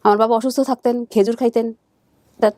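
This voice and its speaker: A woman with a Bangladeshi accent recounting personal life as a guest, halting and repeating herself as she goes. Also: fairly high pitch, fairly even intonation, a little mellow, no echo, studio quality. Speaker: guest searching for birth family. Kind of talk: emotional personal recounting in conversation.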